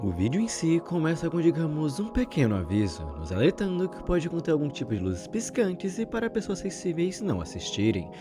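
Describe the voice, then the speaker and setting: Young male Brazilian video essayist: expressive pitch movement, wordy conversational storytelling, clear music bed underneath. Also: brisk, hardly any pauses. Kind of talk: conversational scripted commentary. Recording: background music under the narration.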